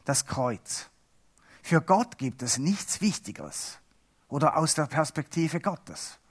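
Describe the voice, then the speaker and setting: A middle-aged Swiss man whose first language is Swiss German, giving a chatty, halting lay sermon with self-mocking humor. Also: a little high, big pitch swings, slightly rough. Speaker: lay preacher.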